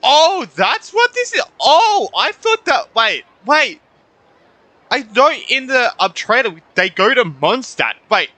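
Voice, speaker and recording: A woman streaming a game, reacting casually and excitably, with some swearing. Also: high voice, takes it slowly, no echo. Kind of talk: casual live gameplay reaction.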